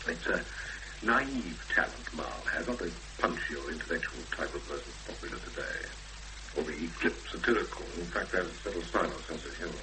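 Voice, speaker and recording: A man's voice: extremely low pitch, expressive, moderate pace. An actor in a 1970s radio drama playing a self-assured, analytical literary man who hesitates as he thinks aloud. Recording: noisy hummy 1970s radio broadcast recording.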